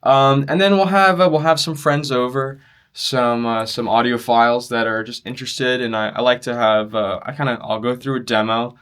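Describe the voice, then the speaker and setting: A man's voice: medium pitch, fairly even intonation, normal speed, a bit bright, clear, resonant tone. Young man explaining his product in an interview. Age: young adult. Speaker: loudspeaker designer and exhibitor. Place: demo room at an audio show.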